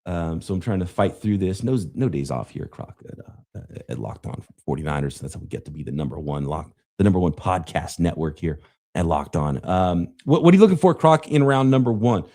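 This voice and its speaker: A man hosting a live podcast about the draft, slightly raspy as he fights off a cough, talking casually while he runs a draft simulator. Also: deep voice, lively intonation, a little fast, warm tone. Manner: casual, thinking aloud while running a draft sim. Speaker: podcast host.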